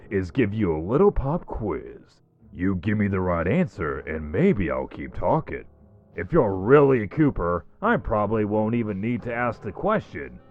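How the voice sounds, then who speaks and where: A man puts on a crotchety old cartoon walrus jailbird. The voice is low and swings widely, gruff, folksy and streetwise, with music playing behind it. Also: narrow, tinny audio. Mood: challenging. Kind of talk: comic dub voice acting.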